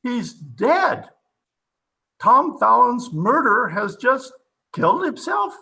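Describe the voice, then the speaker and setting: A man playing a stern, frustrated police captain in an old time radio drama, speaking with deliberate authority, recorded over a video call. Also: very high voice, very animated, vocal fry, bright, forceful tone, full character voice. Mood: shocked, grave.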